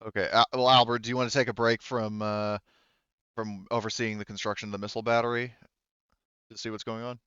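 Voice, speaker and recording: A man with a fairly low voice works through a tabletop game scene as its game master. He talks haltingly, composing as he goes. The recording is dry and slightly muffled. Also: level tone, fairly bright tone, clear, resonant tone, no echo. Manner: halting, thinking aloud. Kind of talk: narrating a tabletop roleplaying game.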